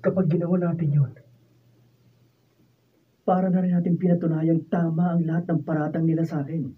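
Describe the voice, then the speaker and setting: A man's voice: fairly high pitch, flat delivery, a little fast, slightly rough. An actor playing an earnest, humble, lovelorn young male student in a Filipino radio drama. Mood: firm, pleading. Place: radio drama recording studio, behind-the-scenes video. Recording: lo-fi phone-like sound, distant mic, faint noise.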